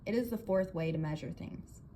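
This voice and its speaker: A woman's voice: fairly low pitch, normal speed, dark tone, natural voice. A woman reading the part of an earnest, confident male inventor who lectures his guests emphatically in a storybook. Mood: earnest, lecturing. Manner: emphatic, explanatory, theatrical. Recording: clear background noise.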